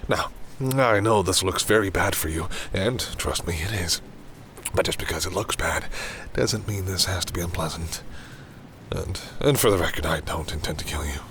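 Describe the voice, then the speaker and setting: A deep male vampire , acted by a man, speaks intimately to his prey in an audio drama. He is condescending and sure of himself, slipping between cool commands and breathy musing. A low rumbling noise sits behind the voice. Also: moderate pace, fairly bright tone. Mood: commanding, menacing. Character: confident male vampire predator, smug and coolly menacing. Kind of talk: intimate audio roleplay, acted monologue to the listener.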